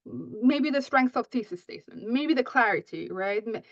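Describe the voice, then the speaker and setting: A woman's voice: a little high, animated, normal speed, no room sound. Persian-speaking woman giving a teaching talk. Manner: frequent "right?" checks, many restarts. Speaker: writing instructor. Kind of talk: conference presentation. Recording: muffled dry audio.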